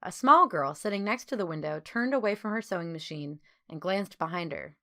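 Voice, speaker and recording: A woman reading a children's story as an audiobook narrator, with clear phrasing and expressive delivery. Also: on the low side, brisk.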